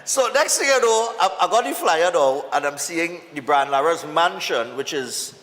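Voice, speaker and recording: Trinidadian guy hosting a morning show, voice jumps all over, kinda high, echoey room w long reverb. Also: moderate pace. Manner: lively, repeats words, laughs easily. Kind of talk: casual chat interview. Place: TV studio.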